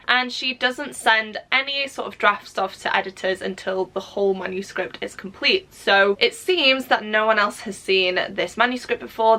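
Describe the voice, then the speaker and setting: Bright-voiced Welsh woman chatting to viewers. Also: medium pitch. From Wales. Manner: informal, expressive, addresses viewers directly. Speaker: book vlogger. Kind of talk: casual chatty vlog to camera.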